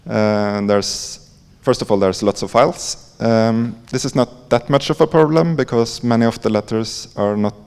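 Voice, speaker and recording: A man with a Norwegian accent giving an informal conference presentation in a halting, hesitant way, with frequent pauses. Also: on the low side, creaky, darkish tone. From Norway. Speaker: conference presenter, library coder. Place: conference hall. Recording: echoey hall with long reverb.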